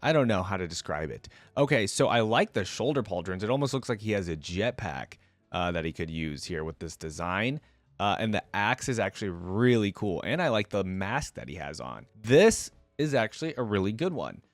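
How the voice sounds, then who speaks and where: Casual reaction guy with swooping, lively pitch. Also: moderate pace, dry recording. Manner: slangy, thinks aloud, hedging opinions as he goes. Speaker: reaction video host.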